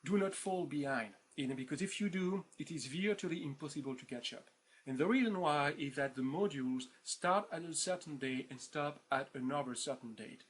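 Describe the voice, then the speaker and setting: A man's voice: a little high, brisk, slight background noise. A man with a French accent giving a recorded introduction to an online course. His talk is informal and playful, full of fillers, repeats, and "right?" after his points. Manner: chatty, informal, many fillers and repeated words; keeps asking "right?" for agreement.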